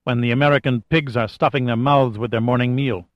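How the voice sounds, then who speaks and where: A man's voice: mid-range voice, steady tone, a little fast, no room sound, highs cut off, natural voice. Man acting a ruthless, commanding terrorist leader. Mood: menacing, contemptuous. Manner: hissing, commanding, snapping. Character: scowling, ruthless male terrorist leader, arrogant and hot-tempered.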